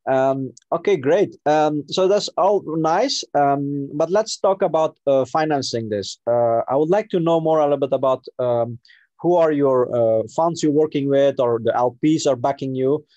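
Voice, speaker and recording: A man's voice: fairly high pitch, normal speed, mellow, no echo, muffled recording. A man from Afghanistan moderating an online panel, hesitant with many fillers and restarts.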